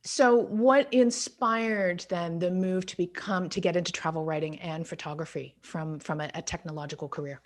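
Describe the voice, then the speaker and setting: A woman's voice: lowish voice, steady tone, normal speed, hardly any pauses. A woman hosting an interview, reading an enthusiastic introduction of her guest. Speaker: interviewer host. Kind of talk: video interview.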